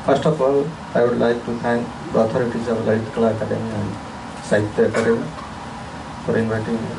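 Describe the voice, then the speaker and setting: A man's voice: medium pitch, recorded from a distance, noisy background. A man from India giving a formal, earnest self-introduction at a conference, speaking from a prepared statement in an auditorium.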